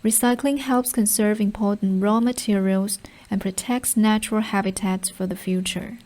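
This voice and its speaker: A woman narrating short exam passages from a script, with measured, clear phrasing. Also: mid-range voice, steady tone, darkish tone.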